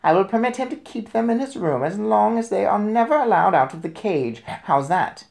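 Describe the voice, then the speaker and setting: A man's voice: sits high, lively intonation, moderate pace, vocal fry, darkish tone, voice pushed up. A man voicing a formal, flustered hotel manager in a storybook reading, polite and placating.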